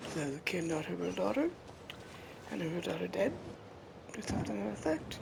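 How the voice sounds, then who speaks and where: A woman streamer commenting on a game as she plays, wondering aloud in a slow, musing way. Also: fairly low pitch, very animated, vocal fry, noisy background. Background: loud game music.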